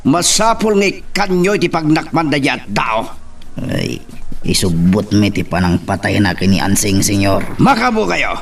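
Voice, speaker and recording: Gravelly, vengeful Filipino henchman acted by a man. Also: fairly low pitch, huge pitch swings, moderate pace, distant mic, full character voice. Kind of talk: Filipino radio-style drama acting.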